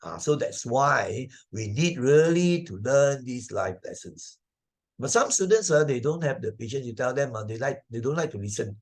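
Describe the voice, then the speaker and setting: A man's voice: mid-range voice, expressive, moderate pace. An older man with a Malaysian accent teaches a moral lesson conversationally, repeating key points with emphasis and checking in with frequent tag questions. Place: online video presentation.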